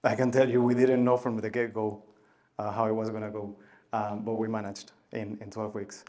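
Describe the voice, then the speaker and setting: Man giving a halting tech talk. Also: fairly low pitch, level tone. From Venezuela. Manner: halting, many filler sounds and restarts, loudness rising and falling. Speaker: conference speaker. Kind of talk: conference talk.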